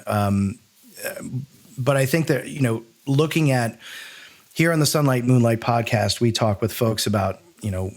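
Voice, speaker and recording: A middle-aged American man hosting a podcast, chatting informally and thinking aloud as he frames things. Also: mid-range voice. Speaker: podcast host. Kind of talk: casual conversational interviewing.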